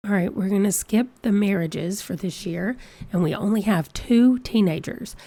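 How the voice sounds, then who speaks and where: A woman's voice: mid-range voice, normal speed, mellow, dry recording. A woman hosting a let's play, chatty and reading out dice rolls, her voice congested from a cold. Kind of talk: casual game commentary.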